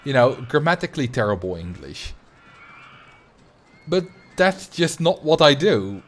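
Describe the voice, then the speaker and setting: A man with a Dutch accent talks casually as a game streamer. His pitch moves around a lot in a mid-range voice, and his delivery is conversational and rambling, in fluent English shaped by his native Dutch. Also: big pitch swings, measured pace. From Netherlands, grew up in Drenthe. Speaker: video game livestreamer.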